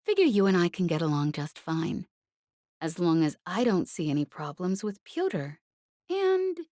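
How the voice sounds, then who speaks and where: A woman's voice: on the low side, big pitch swings, measured pace, warm tone, no room sound. Lady narrator doing cocky smuggler pilot girl, sassy n clipped, audiobook style, dry sound. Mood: brash, challenging. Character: brash, cocky young woman smuggler pilot. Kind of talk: audiobook character voice.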